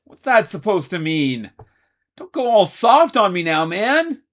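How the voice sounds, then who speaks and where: A man voicing a brash, hotheaded teenage boy student who yells in a very high voice. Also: big pitch swings, a little slow, exaggerated voice. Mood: angry, shouting. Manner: hotheaded, yelling.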